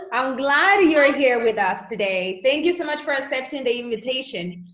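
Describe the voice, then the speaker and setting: A woman's voice: high voice, lively intonation, a little slow, a bit bright. A woman from Venezuela hosting a casual livestream talk show, upbeat and speaking straight to viewers. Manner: upbeat, addresses viewers directly. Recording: echoey room, faint noise.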